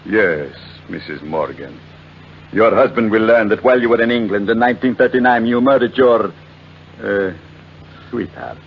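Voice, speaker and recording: Menacing husky male blackmailer, deliberate and sinister; dull vintage radio drama sound. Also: on the low side. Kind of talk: 1940s radio drama acting. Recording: dull, muffled 1940s radio recording.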